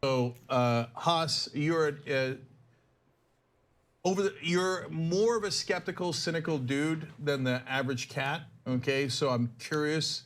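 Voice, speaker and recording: A man hosting a live show who pitches promotions briskly and then questions his panel. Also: a bit bright. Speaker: show host.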